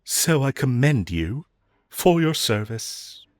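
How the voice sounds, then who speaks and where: A man playing a flirtatious, teasing villain in a romantic audio roleplay, with a husky voice and a playful, drawn-out delivery. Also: medium pitch, sing-song, no echo. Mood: smug, flirtatious. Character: flirtatious, teasing male villain, playfully provoking his hero rival. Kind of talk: romantic audio roleplay acting addressed to listener.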